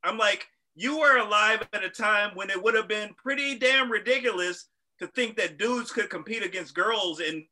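A man's voice: high voice, moderate pace, pushed and bright, rough recording. A man co-hosting a casual talk show, telling stories bluntly and swearing. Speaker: podcast co-host. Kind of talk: casual opinionated chat.

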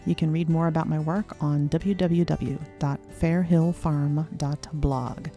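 A woman's voice: steady tone, a little slow, faint music behind. A woman hosting a farming podcast, introducing the show and asking upbeat questions. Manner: bright upbeat questions, pitch rising. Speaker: podcast host and farmer.

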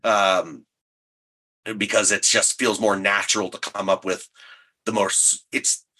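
A man's voice: lowish voice, little pitch movement, measured pace, sharp tone, husky. A man who writes audio drama, chatting in an interview, explaining how he works in a self-deprecating way. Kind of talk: interview chat.

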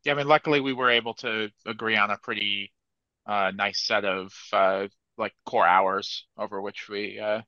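A man in his thirties talks as a guest in an easygoing way, pausing often with fillers. His voice is low yet bright and a bit tense. The sound comes through a dull, lo-fi mic. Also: steady tone, clear, resonant tone, dry recording. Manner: easygoing, hesitant, many fillers. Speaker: software developer and coach guest. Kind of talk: casual panel chat.

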